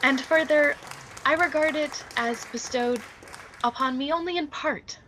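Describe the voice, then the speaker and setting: An actress playing a celebrated young stage star in a radio drama, poised and falsely humble, speaking in measured, formal tones. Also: female voice, high-pitched, fairly bright tone, some chatter behind, a little hiss or hum. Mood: gracious, grand. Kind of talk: theatrical radio drama acting.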